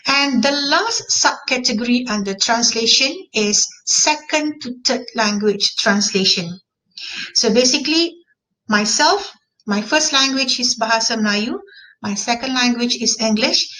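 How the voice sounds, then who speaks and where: A woman's voice: on the high side, pushed and bright, rough recording. A woman with a Malaysian accent, a native Malay speaker, giving an online class lecture and repeating key terms for emphasis.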